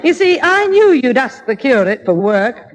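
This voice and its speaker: A woman's voice: high voice, sing-song, moderate pace. An actress playing a wry, teasing mother in a 1960s radio sitcom. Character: mother, wry and teasing, keeping her son in line.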